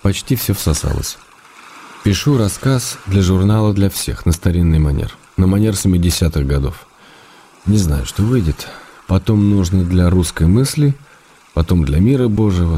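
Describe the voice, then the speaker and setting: A man's voice: sits low, expressive, moderate pace, mellow, a little hiss or hum. An actor playing an affectionate, wry writer reading his intimate letters to his wife in a radio drama. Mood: cheerful, affectionate.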